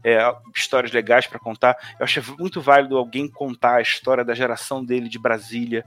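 A man's voice: medium pitch, flat delivery, a little fast, a bit bright. A man with a Northeastern Brazilian accent, a tabletop game designer chatting casually and rambling with many restarts. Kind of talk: casual conversation on a live stream.

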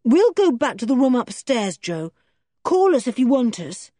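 A sensible young boy gives brisk encouragement, as voiced by a woman reading aloud. His voice sits well above her own, husky and dry. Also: on the high side, sing-song, warm tone, no echo, pitched above the performer's own voice. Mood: reassuring. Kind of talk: storybook character voice.

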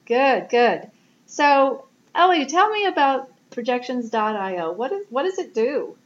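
A woman's voice: sits high, very animated, measured pace. A woman hosting an interview show, asking questions in a conversational way. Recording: roomy background noise, dull lo-fi sound.

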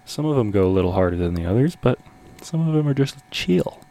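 A man's voice: medium pitch, very animated, a little fast, dark tone. A man hosting a music radio show with casual, laid-back, slangy patter between songs.

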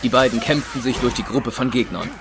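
A man's voice: mid-range voice, a little fast, over music. A man reading scripted narration for a fantasy audio drama as its narrator.

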